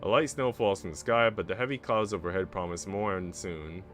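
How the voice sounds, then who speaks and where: A man's voice: low-pitched, brisk, fairly bright tone, faint music behind. A man reading a visual novel aloud as a streamer, narrating the story in the first person.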